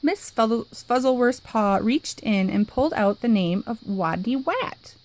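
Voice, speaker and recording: A woman's voice: a little high, slight background noise. Woman reading a picture book aloud. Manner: expressive, lively, dramatic pauses. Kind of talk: storybook read-aloud.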